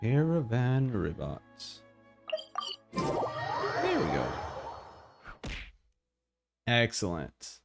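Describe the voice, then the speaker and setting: A man streaming a game live with casual, halting commentary, often repeating himself as he talks to his chat. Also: medium pitch, huge pitch swings, gravelly. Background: music.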